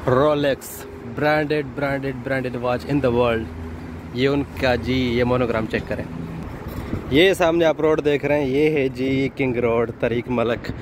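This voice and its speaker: Man vlogging casually over noisy surroundings. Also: mid-range voice. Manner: informal, peppered with exclamations of admiration. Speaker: vlogger and delivery driver. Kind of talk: casual vlog commentary on the sights. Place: in a moving car and outdoors. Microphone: phone held in hand, no external mic. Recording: loud traffic and outdoor noise, no microphone.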